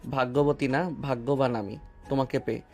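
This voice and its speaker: A man's voice: mid-range voice, fairly even intonation, brisk, darkish tone, soft background music, lightly acted. A man playing a tender teasing young husband. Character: affectionate playful young husband. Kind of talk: romantic audio drama acting.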